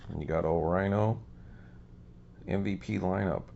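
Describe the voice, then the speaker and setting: Man, deep voice, scratchy today, rambling ums, muffled lo-fi sound, faint hiss. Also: steady tone, a little slow, creaky, mellow. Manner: easygoing, rambling with many ums. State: slightly scratchy voice. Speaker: card collecting channel host. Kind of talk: casual show-and-tell of cards.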